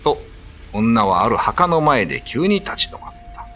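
A man's voice: medium pitch, big pitch swings, a little slow, sharp tone. A man narrating a scripted ghost story as a dramatic storyteller. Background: background music.

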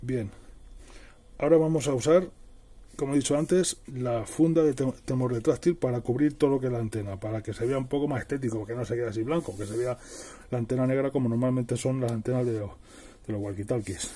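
A man's voice: medium pitch, a little fast, dark tone, slightly rough. A middle-aged man giving a casual hands-on how-to, explaining plainly step by step. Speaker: presenter of a DIY tutorial. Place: indoors at a worktable.

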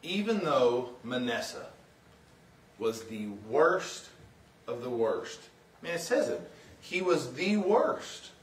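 A man's voice: mid-range voice, very animated, slow. Middle-aged man preaching from far off, roomy sound. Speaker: guest preacher. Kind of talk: sermon with scripture reading. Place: church sanctuary, indoors. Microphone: far camera. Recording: roomy, distant from the microphone.

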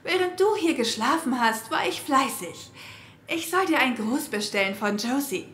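A woman's voice: high voice, lively intonation, moderate pace, fairly bright tone. A woman playing a vengeful girlfriend in a horror audio drama, taunting and gloating with false sweetness. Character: vengeful young girlfriend, mockingly sweet and cruel. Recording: echoey room, faint background noise.

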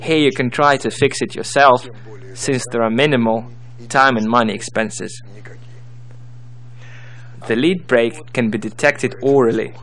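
Male tutorial narrator, mid-pitched, reading scripted instructional material. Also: steady tone, measured pace, a bit bright.

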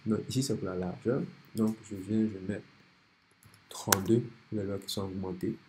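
A man with a cold, calmly walking through a software design tutorial step by step over a screen recording. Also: fairly low pitch, moderate pace, slight background noise. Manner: relaxed, step-by-step explaining with many restarts. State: has a cold.

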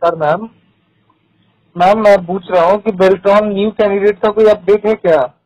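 A man's voice: high-pitched, steady tone, moderate pace, darkish tone, dull audio. A man making a phone inquiry, asking for information.

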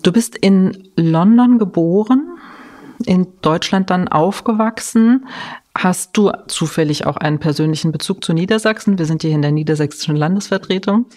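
A woman's voice: on the low side, moderate pace, dark tone. A woman hosting a podcast interview, asking casual questions and affirming often with short hums. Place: state representation office, indoors.

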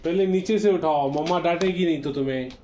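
Indian dad, thirties, quick repetitive prompts to a little kid, faintly noisy home phone recording. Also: male voice, a little high, dark tone. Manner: coaxing, repeats short questions and prompts. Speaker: father filming a kids' show with his child. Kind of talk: casual chat with a small child. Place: small living room at home. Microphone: phone camera at arm's length or further.

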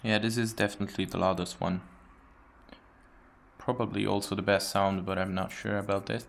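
Low-pitched man with a creaky voice. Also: level tone, normal speed, noisy background. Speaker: tech hobbyist demonstrating a phone mod. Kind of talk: casual hands-on video commentary. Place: indoors, at a wooden table.

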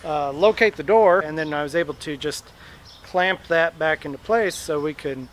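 A man in his thirties casually explaining his camper renovation while he works, with a relaxed, filler-heavy way of talking. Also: fairly high pitch, normal speed, slight background noise. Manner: relaxed, chatty, many "uh" fillers. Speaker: DIY camper renovation vlogger. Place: backyard outdoors. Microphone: handheld camera close up.

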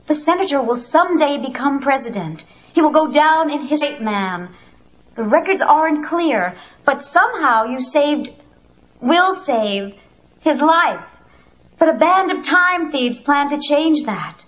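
A woman's voice: sits high, fairly bright tone. A woman playing an earnest, urgent young time-police marshal in a comic-book audio drama, speaking dramatically and emphatically. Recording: dull cassette, music behind.

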